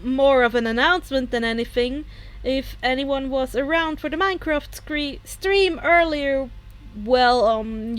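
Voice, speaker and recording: A woman voicing an awkward, friendly teenage boy streamer, chatty and hesitant with ums. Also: high-pitched.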